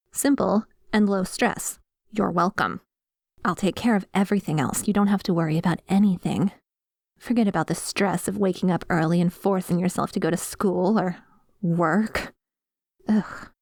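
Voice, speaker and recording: A woman playing a dominant, obsessive vampire in a scripted ASMR roleplay, savouring her words with breathy sighs and slips into whispers, commanding and condescending. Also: fairly low pitch, darkish tone, dry recording, studio quality. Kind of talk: scripted ASMR roleplay audio, addressing the listener.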